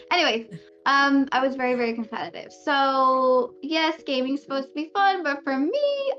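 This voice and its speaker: A young woman with a high-pitched voice tells her stories in easy podcast chat and breaks into laughs often. The sound is dull and lo-fi. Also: fairly bright tone. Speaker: pro gamer guest. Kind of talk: casual podcast interview. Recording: dull lo-fi audio.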